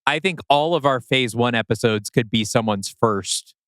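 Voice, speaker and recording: A man's voice: mid-range voice, normal speed, a bit bright, no room sound, clean studio sound. A man chatting informally as a podcast co-host, talking through creative work. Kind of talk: casual chat about scriptwriting.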